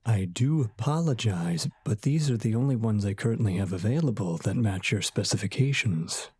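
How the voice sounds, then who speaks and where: A man's voice: fairly low pitch, lively intonation, normal speed, darkish tone, slightly rough, no room sound. An actor playing a patient male shop owner in a radio drama, courteous and formal. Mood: politely firm. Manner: courteous, formal salesman patter.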